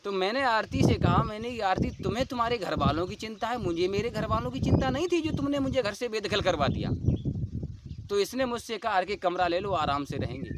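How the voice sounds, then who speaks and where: Male, fairly high voice, rural western UP Hindi accent. Earnest, insistent personal storytelling, clearly noisy outdoor recording. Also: animated, brisk. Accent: western Uttar Pradesh rural Hindi. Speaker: dholak player. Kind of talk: personal account told to camera.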